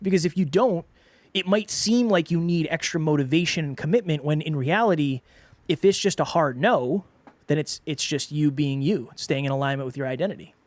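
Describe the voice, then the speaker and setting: Earnest, congested male podcast co-host, higher-pitched voice, dry close-mic sound. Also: fairly quick, no echo. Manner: earnest, repeats himself while making a point. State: congested, sore throat. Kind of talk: casual podcast chat.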